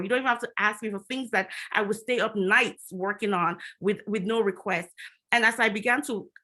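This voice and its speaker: A woman's voice: medium pitch, pushed and bright. A middle-aged woman from Nigeria, a physician speaking on a conference panel, talking reflectively with frequent restarts and fillers.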